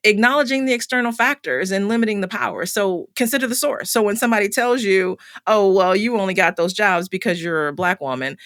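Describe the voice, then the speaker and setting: A woman's voice: lowish voice, a bit bright, no echo, clean studio sound. A middle-aged woman hosting a solo podcast, talking candidly with many fillers and restarts, her voice starting to tire. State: voice getting tired. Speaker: podcast host.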